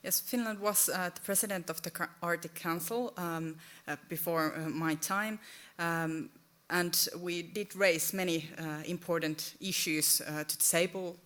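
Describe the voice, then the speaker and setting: A woman in her thirties who is not a native English speaker, speaking on a panel in a halting way with many fillers and self-corrections. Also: on the low side, fairly even intonation, fairly bright tone. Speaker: panelist, head of government. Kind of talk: panel discussion. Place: conference stage with audience.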